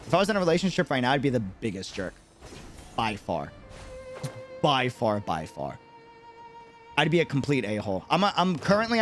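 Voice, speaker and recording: A man streaming in a casual chat with viewers, talking animatedly and repeating phrases for emphasis. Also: medium pitch. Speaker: streamer and content creator.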